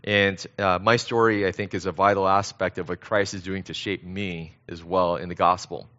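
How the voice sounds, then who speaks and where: Man, American accent, middle-aged, conversational sermon, earnest, halting delivery, somewhat low voice, slightly lo-fi sound. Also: flat delivery, pushed and bright. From born in Korea, grew up in Southern California. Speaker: guest pastor.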